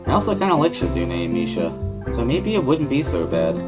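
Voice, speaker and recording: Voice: mid-range voice, huge pitch swings, fairly quick, over loud music. A reader playing a wary teenage schoolboy who narrates his own story in a dry, mildly sardonic way, as a visual novel dramatic reading. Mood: reflective.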